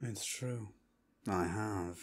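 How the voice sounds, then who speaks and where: A man's voice: on the low side, drawn-out words. A man voicing a cool, dangerous, inquisitive male vampire with a suave, teasing manner in a fantasy ASMR roleplay. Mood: suave, curious.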